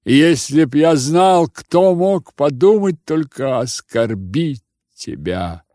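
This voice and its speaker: A man's voice: fairly high pitch, expressive, takes it slowly, warm tone, no echo, clean studio sound, pitched above the performer's own voice. Man voicing a stern, grieving old Cossack chief. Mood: bitter grief. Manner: solemn, emphatic, commanding. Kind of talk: audiobook character voice.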